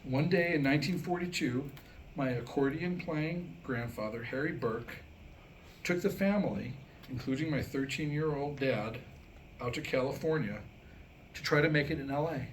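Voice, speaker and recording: A man's voice: medium pitch, moderate pace, low-quality recording. A middle-aged man delivering a comedic monologue and telling stories before a live audience at a staged reading. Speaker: playwright performing a staged reading. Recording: live room recording with background noise.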